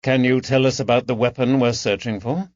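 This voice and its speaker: A man voicing a composed, inquisitive detective in an audiobook, measured and probing. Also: mid-range voice, steady tone, dry recording, lo-fi sound, close to an everyday voice. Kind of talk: audiobook character dialogue.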